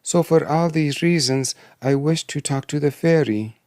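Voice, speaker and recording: A man putting on a high-pitched, proud young princess. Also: moderate pace, a little mellow, dry recording, studio quality, lightly acted. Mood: sorrowful. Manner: plaintive, haughty. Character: proud young fairy tale princess, vain, easily distressed. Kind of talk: fairy tale storybook reading.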